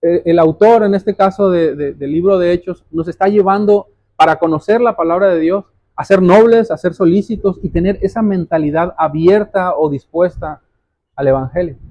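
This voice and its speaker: A man's voice: sits high, normal speed, a little mellow, dry recording, dull audio. A man preaching a sermon, explaining scripture didactically, repeating key phrases and putting direct questions to his listeners. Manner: addresses listeners directly as brothers.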